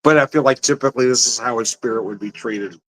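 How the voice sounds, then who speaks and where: A man at a mid-range pitch reviews a drink in an easygoing, rambling way. He laughs often, trips over his words, and talks straight to the camera. He sounds like a regular host chatting with his viewers. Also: fast, low-quality recording. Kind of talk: casual tasting review.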